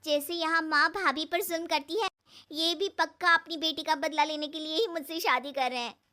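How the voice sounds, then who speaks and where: A woman acts out a dutiful, frightened young bride for a cartoon. The voice is very high and pleading, raised well above her normal talk. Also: steady tone, moderate pace, pushed and bright. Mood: anxious. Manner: pleading, fearful. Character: timid, anxious young bride, sweet and dutiful.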